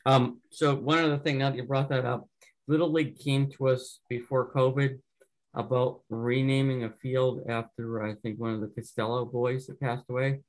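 A man's voice: medium pitch, little pitch movement, moderate pace, poor audio. Guy running a town board zoom meeting, easygoing, lots of ums. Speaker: board chair. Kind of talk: casual meeting discussion.

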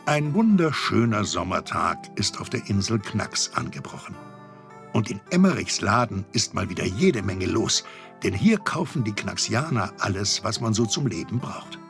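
An actor narrating a children's audio drama as a genial storyteller. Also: male voice, fairly low pitch, big pitch swings, moderate pace, gravelly, a bit bright, faint music behind, exaggerated voice. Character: genial adult male storyteller.

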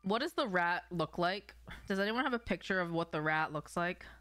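A woman's voice: fairly low pitch, expressive, normal speed, a bit bright, smooth, no echo. A young adult woman streaming a game, chatting casually and playfully with her viewers in slangy speech. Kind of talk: casual live stream chat while gaming. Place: small room at home, indoors.

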